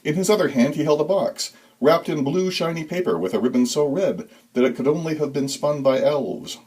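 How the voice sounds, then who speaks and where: A man giving a dramatic, deliberate reading of a scripted story as narrator, with suspenseful, expressive emphasis. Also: fairly high pitch. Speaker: author reading own story.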